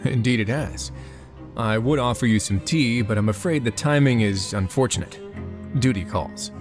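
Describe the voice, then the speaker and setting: A man voicing a courteous, cool-headed prison administrator in an anime video game, speaking in a measured, formal way. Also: mid-range voice, natural voice. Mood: composed. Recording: background music.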